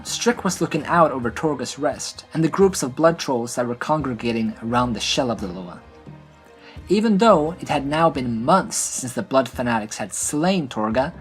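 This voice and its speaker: A storyteller narrates a scripted fantasy tale. The voice sits in a middle register, and music plays faintly behind it. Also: medium pitch.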